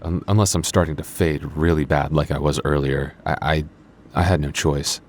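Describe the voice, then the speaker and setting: A man plays a young vampire working a store night shift, with an extremely deep male voice. He banters sardonically and stumbles over his words when flustered. The delivery is teasing, in the style of an ASMR roleplay audio drama. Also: fairly even intonation, normal speed, darkish tone, slight background noise. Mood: sheepish, explaining. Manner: hesitant stammering, sardonic banter.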